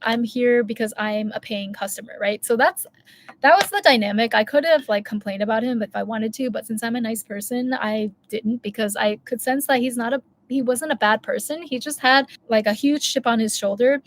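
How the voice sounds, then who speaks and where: A woman's voice: on the high side, normal speed. A woman, telling a long candid story in a casual vlog, full of asides and self-corrections. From United States. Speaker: vlogger.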